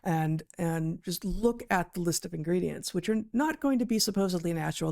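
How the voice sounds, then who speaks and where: A woman's voice: lowish voice, creaky, a little mellow, no room sound, studio quality. A woman co-hosting a podcast, talking through an article conversationally, correcting herself and leaning on key words. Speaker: podcast co-host, biologist.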